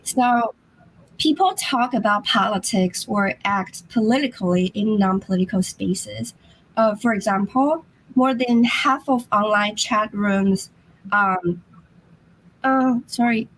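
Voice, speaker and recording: Hoarse woman giving a slow academic talk. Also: medium pitch, low-quality recording. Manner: slow, halting, apologetic. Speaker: academic presenter. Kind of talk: remote conference talk.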